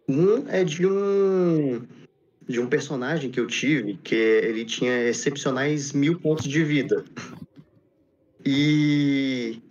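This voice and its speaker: A man's voice: on the high side, a little mellow, distant mic, a little hiss or hum. A man with a Brazilian accent casually telling anecdotes on a podcast, repeating phrases as he goes. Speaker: podcast speaker. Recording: dull lo-fi sound, off-mic.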